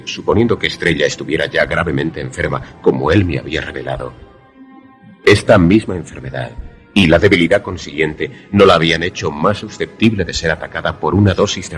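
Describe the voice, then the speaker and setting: A man playing a grave, questioning protagonist who narrates a suspense radio drama in formal, literary language. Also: rumbling low. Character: grave, questioning male narrator-protagonist caught in eerie events.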